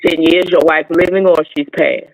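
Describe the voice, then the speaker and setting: A woman chats on a live stream. She is easygoing and unhurried, asking plain, simple questions as she keeps an eye on the chat. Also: low-pitched, fairly even intonation, low-quality recording. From North Carolina. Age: 50s. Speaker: guest co-host.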